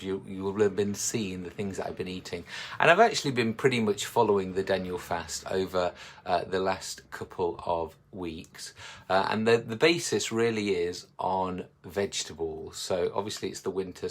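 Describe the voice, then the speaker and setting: Deep-voiced man coaching casually. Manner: chatty, encouraging, addresses viewers as guys. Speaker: slimming coach. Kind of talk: live social media talk.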